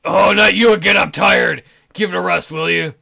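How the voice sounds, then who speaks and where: A woman voicing a surly young male wolf-boy guard in a visual novel, gruff, rude and irritable. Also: on the low side, normal speed, sharp tone, voice pushed down, full character voice. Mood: irritated, weary. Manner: gruff, irritable, foul-mouthed.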